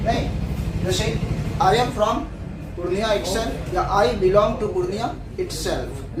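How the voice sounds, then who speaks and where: Teacher drilling a class, echoey and far. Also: mid-range voice. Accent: Bihari. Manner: repeats points as questions to the class. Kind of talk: classroom English lesson in Hindi. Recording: echoey room, far from mic, noisy.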